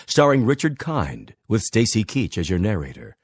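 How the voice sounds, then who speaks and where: Deep, dramatic male radio announcer. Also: wildly swooping pitch, moderate pace. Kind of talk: dramatic radio show introduction. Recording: music clearly under the voice.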